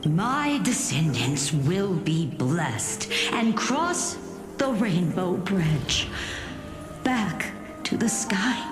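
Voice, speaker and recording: An actress playing an aged, tender grandmother who tells old legends in a solemn, dramatic fantasy game style. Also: mid-range voice. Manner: measured, dramatic, weighty phrasing. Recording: reverberant studio voice under music.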